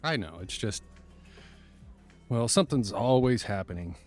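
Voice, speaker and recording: A man's voice: lowish voice, lively intonation, measured pace, faint music behind. A man playing a good-natured but exasperated young buddy in an audio drama, casual and wry.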